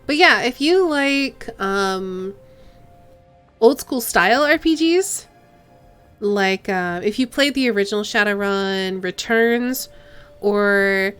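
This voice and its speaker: A woman's voice: mid-range voice, slow, fairly bright tone, smooth, soft background music. A woman streaming a game, chatting casually with viewers and reading the game's text aloud.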